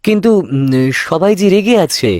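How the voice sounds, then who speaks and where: A man's voice: high voice, expressive, normal speed, darkish tone, no echo, clean studio sound. A man voicing a young, sly and cocky sweet-shop owner in a cartoon. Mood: remorseful. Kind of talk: cartoon voice acting.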